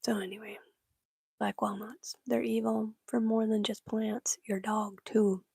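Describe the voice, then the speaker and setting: A woman from Michigan whispering in casual chat with her livestream viewers, breathy and laughing often. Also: fairly high pitch, little pitch movement, a little slow, a little mellow, dry recording, studio quality. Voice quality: breathy, gravelly. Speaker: livestream host.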